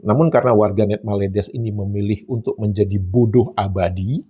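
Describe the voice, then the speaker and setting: A man's voice: lowish voice, steady tone, brisk, breathy edge, narrow, tinny audio. A man giving solo commentary, emphatic and mocking, often repeating phrases for effect. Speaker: YouTube commentator.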